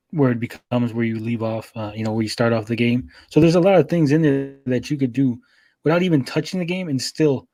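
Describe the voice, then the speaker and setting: A man on a podcast panel, making his case in a measured, explanatory way with pauses. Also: mid-range voice, dark tone, no echo. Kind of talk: casual discussion, making an argument.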